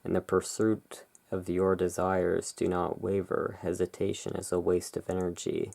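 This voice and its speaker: A person doing a relaxing gothic ASMR roleplay, reading tarot cards aloud and commenting on them in a hushed, unhurried way. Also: low voice, flat delivery, creaky. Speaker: ASMR creator, tarot deck reviewer.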